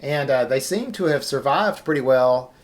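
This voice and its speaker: A man's voice: mid-range voice, expressive, normal speed. A man hosts an informal demonstration in a casual, unscripted way. He explains things as he goes, hesitating here and there as he finds his words. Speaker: video host.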